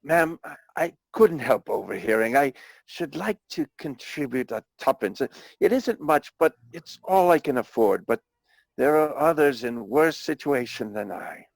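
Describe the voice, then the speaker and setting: An actor playing a meek, kindly, underpaid clerk in a radio play, timid, halting and deferential. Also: male voice, medium pitch, lively intonation, vocal fry, no room sound.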